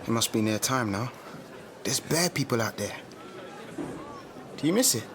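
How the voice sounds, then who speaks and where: Actor as animated young London man, slangy and outspoken. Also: mid-range voice, normal speed, noisy background, lightly acted. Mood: upbeat. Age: young adult. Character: confident young British man, outspoken musician-turned-speaker, street-smart. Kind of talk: naturalistic radio drama dialogue.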